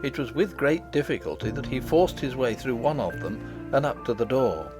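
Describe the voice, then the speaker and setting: A man narrating an audiobook in an expressive, dramatic storytelling style. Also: mid-range voice, moderate pace. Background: background music.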